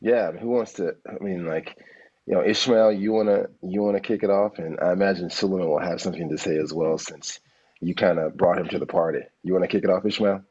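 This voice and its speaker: A man who founded the program, talking in a relaxed, hesitant way with many fillers on a video call. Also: deep voice, a little fast, slightly rough. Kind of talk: casual interview on a video call. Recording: muffled video call audio.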